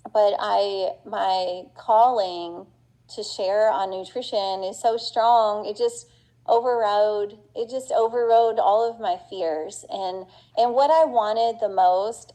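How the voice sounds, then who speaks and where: Woman, middle-aged, business owner, conference talk, chatty, passionate, many fillers, mellow tone. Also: medium pitch, low-quality recording. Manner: earnest and passionate.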